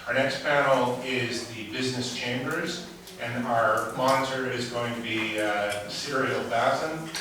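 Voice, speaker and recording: Guy hosting a panel, stop-start, distant mic in a boomy hall. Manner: halting, restarts often. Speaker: event host. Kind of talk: event hosting remarks. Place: large event hall. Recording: echoey hall mic, far off.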